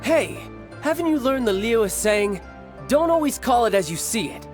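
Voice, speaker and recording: Brash, hot-headed young villain. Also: male voice, very high-pitched, big pitch swings, moderate pace, soft background music. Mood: irritated. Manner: brash, irritated. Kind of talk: video game voice acting.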